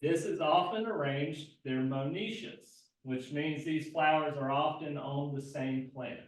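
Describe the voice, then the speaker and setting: Soft-spoken man lecturing in an echoey room. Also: fairly high pitch, unhurried. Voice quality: soft-spoken, not projecting. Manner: explanatory, with frequent fillers and self-corrections. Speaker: agricultural extension agent teaching a gardening class. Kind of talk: live classroom lecture. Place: indoor class room. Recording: very echoey room, mic far from speaker.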